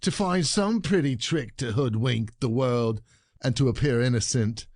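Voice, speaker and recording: An actor playing a jealous, gloating peasant husband in a classic comedy audio play, sardonic and declamatory. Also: male voice, mid-range voice, unhurried, a little mellow, no echo, studio quality. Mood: mocking, stern.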